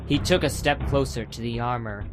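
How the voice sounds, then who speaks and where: A man narrates a dramatized audiobook in a scripted, dramatic style. His voice sits at a middle pitch. Music plays clearly underneath. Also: moderate pace.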